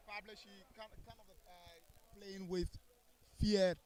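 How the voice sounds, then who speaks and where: A man's voice: high-pitched, little pitch movement, unhurried, darkish tone. A man giving live sports commentary as a football co-commentator. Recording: faint background noise.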